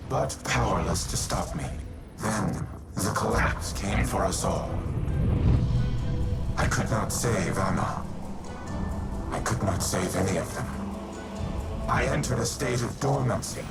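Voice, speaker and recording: An actor playing an ancient, grave and remorseful artificial war mind in a video game, speaking in solemn, measured, formal monologue. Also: male voice, fairly low pitch, animated. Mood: mournful, ominous. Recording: loud music under the voice.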